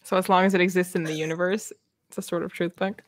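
A woman's voice: fairly low pitch, fairly even intonation, fast talker. A young adult woman co-hosting a casual book livestream, opinionated and given to acting out imagined quotes. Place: room at home, indoors.

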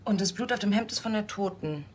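An actress playing a cool, capable young police officer in a TV crime drama. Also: female voice, mid-range voice, brisk, slight background noise, lightly acted. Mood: concerned.

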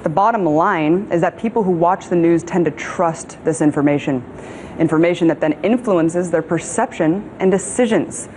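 A woman's voice: lowish voice, normal speed, darkish tone, slight background noise. An American woman in her thirties presenting scripted opinion commentary in an emphatic, sardonic manner as a news show host. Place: TV studio.

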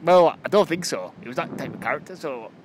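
A man's voice: a little high, animated, fast, natural voice. A man with a British accent playing an offhand pirate captain, rambling vaguely as a witness in an improvised comedy film. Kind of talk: improvised comedy film acting, casual chat. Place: outdoors by a rocky seafront. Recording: windy, very noisy outdoor camera audio.

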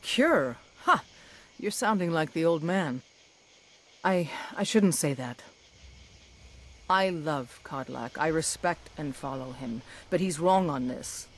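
A woman's voice: deep voice, expressive, slow, slight background noise. A woman playing a fierce, proud huntress warrior in a video game, blunt and confident. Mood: proud, defiant. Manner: blunt, confident, scornful of cowards. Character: fierce, proud huntress warrior, werewolf loyal to her pack. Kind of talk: video game character dialogue.

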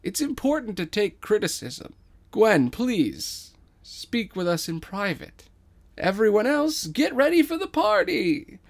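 A scummy man playing at royalty, as a male reader acts him out. He declaims grandly and pompously, pitched up like a storybook monarch addressing his subjects. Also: very animated, measured pace, gravelly, heavily acted. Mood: grandiose. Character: pompous scummy man posing as regal king. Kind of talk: dramatized script reading.

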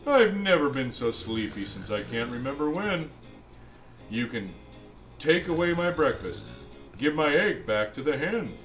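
A man's voice: fairly high pitch, very animated, measured pace, a bit bright, low-quality recording. A man voicing a jolly old Santa Claus who reads a picture book to kids in a chatty, exclaiming way. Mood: playful storytelling. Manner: exclaiming, chatty asides between lines. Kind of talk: animated storybook read-aloud. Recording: music behind the voice.

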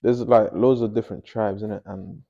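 A man's voice: fairly low pitch, steady tone, moderate pace, vocal fry, dark tone, dull audio. A young man from Nigeria chatting casually while he paints in a small home studio, often mumbling to himself as he works. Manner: laid-back, mumbles to himself while working. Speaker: painter and host.

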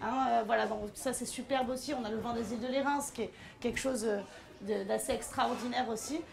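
A woman's voice: fairly high pitch, fairly even intonation, brisk, vocal fry. A young woman working in her family's wine bar, explaining the food and wines fluently and with enthusiasm. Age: young adult. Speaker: restaurant staff, owner's daughter. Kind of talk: informative explaining. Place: small wine bar, indoors.

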